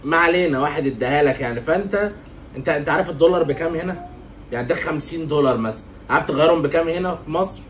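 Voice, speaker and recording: A man's voice: fairly high pitch, slight background noise, natural voice. A man with an Egyptian accent playing a bossy, impatient man who scolds and lectures a clueless youngster. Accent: Egyptian Arabic. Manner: scolding, bossy, quick to shout. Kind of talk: comedy sketch.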